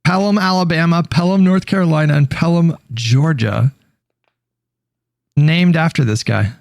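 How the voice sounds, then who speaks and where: A man with a moderately high voice in a dry, close recording. He tells stories casually and haltingly, slipping between informal, sweary talk and reading aloud. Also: creaky, no echo. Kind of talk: casual storytelling, partly reading an article aloud.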